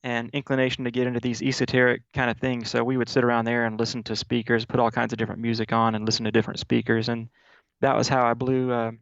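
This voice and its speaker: A man from Mississippi, a guest in an interview, telling stories in a relaxed way with many fillers over a video call. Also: mid-range voice, quick pace, gravelly, dry recording, dull audio. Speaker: guest, audio software developer.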